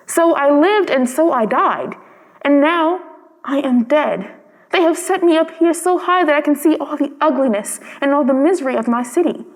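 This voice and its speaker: A woman voicing a sorrowful male prince statue in a storybook, gentle and noble, with a stately, wistful, musical delivery. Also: high-pitched, long reverb tail, low-quality recording.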